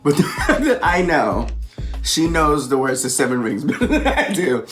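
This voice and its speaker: Lively teasing woman with a New York accent. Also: low-pitched, moderate pace, over music. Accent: New York and Filipino. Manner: playful, teasing, laughs often. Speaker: host. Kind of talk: casual mukbang chat. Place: indoors at a meal table.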